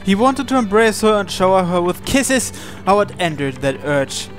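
A man's voice: sits high, very animated, normal speed, faint music behind. A man reading visual novel narration aloud, sometimes stumbling over and repeating words as he goes. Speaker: video game player reading aloud.

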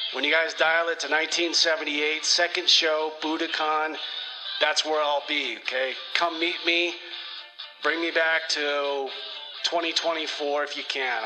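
A man playing a casual, chummy, excitable time traveler leaving messages in comedy radio theater. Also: a little high, lightly acted, poor audio. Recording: music playing behind the voice.

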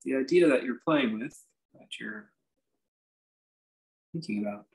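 A man teaching a guided meditation, speaking in measured, halting phrases with reflective pauses. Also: medium pitch, expressive. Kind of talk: guided meditation, unscripted instruction.

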